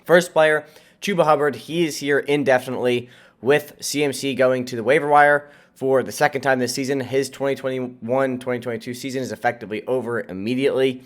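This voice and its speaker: A man's voice: medium pitch, fairly even intonation, a little fast. An American man with a nasal, congested voice, sick as he hosts, giving casual, emphatic fantasy football advice to viewers. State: sick with a cold. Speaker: host of a fantasy football channel.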